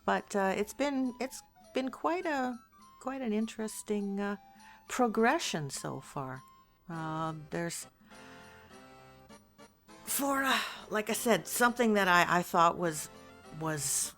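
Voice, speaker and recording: A woman's voice: mid-range voice, expressive, slow pace, long pauses, a bit bright, soft background music. A woman chatting casually as an interviewee, in a hesitant, thoughtful manner. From Canada. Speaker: interviewee, amateur voice actor. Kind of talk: casual chat.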